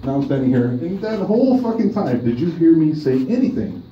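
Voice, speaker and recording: A man's voice: fairly high pitch, big pitch swings, fairly quick. A man in his thirties doing a crude, profane open-mic stand-up set, teasing the front row from a small bar stage. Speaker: stand-up comedian. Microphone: handheld stage mic, filmed from far above. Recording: distant roomy lo-fi club recording. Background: audience laughter.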